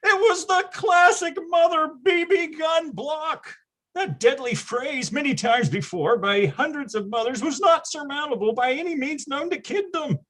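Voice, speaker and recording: Animated, melodramatic storyteller played by an actor. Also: sits high, measured pace. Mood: horrified, dramatic. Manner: theatrical, grandiose, wry. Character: melodramatic, nostalgic storyteller recalling boyhood schemes. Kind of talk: scripted comic narration.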